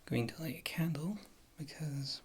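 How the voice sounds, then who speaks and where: A woman playing a wry witch healer in an ASMR fantasy roleplay, speaking softly and often slipping into a whisper, in a rambling, casual, reassuring way. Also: low voice, unhurried, breathy edge, exaggerated voice. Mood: soothing, focused. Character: wry, thrifty witch healer, a former adventurer.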